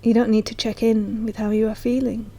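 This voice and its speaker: Soft, breathy woman guiding a meditation. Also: fairly high pitch, little pitch movement. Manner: soft, slow, soothing delivery. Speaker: meditation guide. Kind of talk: guided meditation narration.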